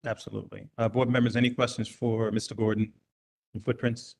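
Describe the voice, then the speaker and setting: A man chairing a community board meeting, calling on speakers in a brisk, procedural way. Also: lowish voice, little pitch movement, gravelly, darkish tone.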